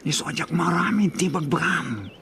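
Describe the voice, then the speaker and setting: A man's voice: on the high side, lively intonation, moderate pace, gravelly. A man playing a sweet-talking, reassuring young boyfriend in a radio drama, with an affectionate, coaxing delivery. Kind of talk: Ilocano radio drama acting. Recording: faint background noise.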